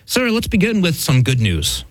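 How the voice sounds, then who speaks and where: A man's voice: on the high side, huge pitch swings, normal speed, background noise. A man co-hosting a news show, chatting casually about headlines in rambling starts and restarts. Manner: talks in rambling restarts, chuckling.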